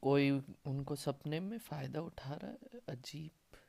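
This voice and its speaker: A man's voice: on the high side, animated, racing, creaky. A man with an Indian accent giving casual live commentary as a game streamer, in muttered asides. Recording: loud game music over voice. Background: video game music.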